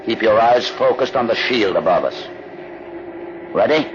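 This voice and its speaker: An actor playing a learned, commanding older rebel aboard a starship in a radio drama, speaking in a deliberate, theatrical, condescending way. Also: male voice, mid-range voice, animated, lightly acted. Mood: grave, masterful. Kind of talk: old-time radio drama acting. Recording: muffled, noisy old radio recording.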